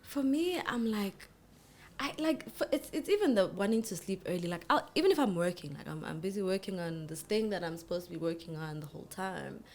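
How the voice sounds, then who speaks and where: A woman's voice: on the low side, expressive, a little fast, a little mellow, slight background noise. A young woman co-hosting a podcast, talking in a chatty, rambling way with many restarts. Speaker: podcast co-host. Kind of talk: casual chat.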